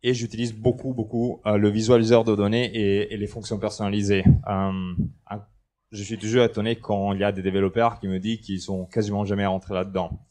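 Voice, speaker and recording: Guy giving a tech talk, low-ish voice, lots of ums, joking around, headset mic in a big hall. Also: brisk. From Italy. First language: Italian. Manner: relaxed and joking, with many hesitations. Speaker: conference speaker. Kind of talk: presenting a technical talk. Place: large indoor conference hall, on stage.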